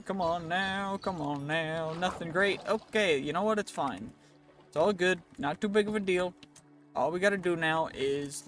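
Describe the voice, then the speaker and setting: A man with a slightly raised voice and a stuffy, sniffly nose talks through a game run in a casual, chatty way. He keeps telling himself it's all fine and playfully begs for better loot. Game music plays clearly underneath. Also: fairly high pitch. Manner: chatty, self-reassuring, pleading playfully. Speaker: gaming youtuber. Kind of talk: casual gameplay commentary. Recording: clear music bed behind.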